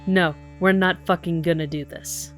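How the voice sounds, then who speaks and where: An actress playing a blunt, sarcastic, foul-mouthed police detective in an audio drama. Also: female voice, lowish voice, steady tone, normal speed, lightly acted. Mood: furious, fed up. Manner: sardonic, blunt, confrontational. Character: hard-bitten, sarcastic, profane woman police detective. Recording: faint music behind the voice.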